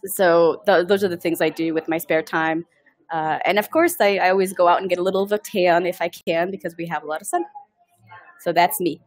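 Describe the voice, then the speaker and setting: Woman, slightly low pitch, casual self-introduction, chatty, rambling. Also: steady tone, fairly quick, dry recording. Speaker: nonprofit team member.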